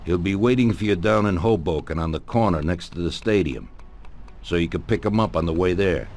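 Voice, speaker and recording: Man acting a deep-voiced, commanding Mafia don. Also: a little fast, a little mellow, slight background noise, close to an everyday voice. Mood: calm, businesslike. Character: calm, commanding older Mafia don giving orders. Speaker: crime boss. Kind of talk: video game cutscene acting.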